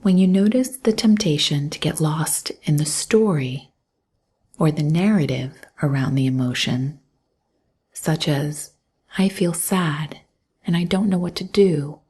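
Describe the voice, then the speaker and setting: Meditation guide, female. Very slow, breathy delivery, gentle and calm, often close to a whisper. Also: low-pitched. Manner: gentle, calm, unhurried instruction with long pauses. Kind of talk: guided mindfulness meditation, scripted narration.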